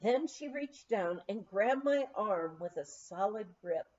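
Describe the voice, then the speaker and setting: A woman reads a memoir aloud as an audiobook narrator, in a measured, expressive storytelling style. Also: medium pitch, lo-fi sound. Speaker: audiobook reader.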